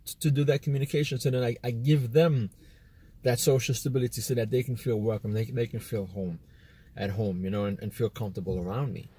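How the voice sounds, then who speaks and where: A man's voice: mid-range voice, fairly even intonation, a little fast, warm tone, slightly rough. An American man hosting a video, talking in a loose, rambling monologue and often repeating himself. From United States. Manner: rambling, repeats words, quotes imagined chats. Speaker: video host. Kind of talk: casual monologue to camera.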